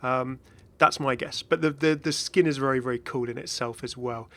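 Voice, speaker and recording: A man's voice: mid-range voice, steady tone, normal speed, pushed and bright. A man hosting a gaming video with casual, chatty commentary that drifts into hesitant asides. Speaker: video host, game content creator.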